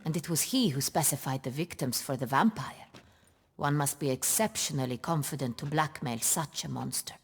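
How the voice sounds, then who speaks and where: A woman's voice: low voice, normal speed, husky. An actress playing an imperious, impatient noblewoman ruler in a video game, speaking in formal, commanding, archaic phrases. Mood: anxious, impatient. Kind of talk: dramatic video game acting.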